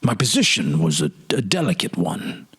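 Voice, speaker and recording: A man playing a weary, haunted politician of forty in a storybook reading, confessing his regrets in a halting, sorrowful, dramatic way. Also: low-pitched, big pitch swings, fairly bright tone, husky, heavily acted. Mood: anxious, confiding. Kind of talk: dramatic literary audiobook reading.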